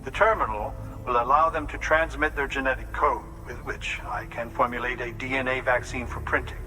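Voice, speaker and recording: A serious, precise doctor from a video game. He explains things formally in a strikingly bright, crisp-edged voice of average pitch, over faint music. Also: steady tone, fairly quick, low-quality recording. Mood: instructive, serious. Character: serious, precise male doctor briefing a courier. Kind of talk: scripted game dialogue.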